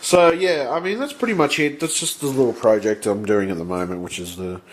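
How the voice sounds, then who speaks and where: A man with blocked sinuses, talking off camera at a home workbench in a rambling, halting way that breaks into swearing when he is frustrated. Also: medium pitch. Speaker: channel host. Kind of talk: casual vlog.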